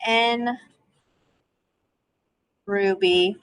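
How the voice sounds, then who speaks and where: A woman's voice: medium pitch, steady tone, extremely slow, smooth, dry recording, low-quality recording. A woman, an elementary teacher leading a read-aloud lesson for young children, asking questions and prompting as she goes.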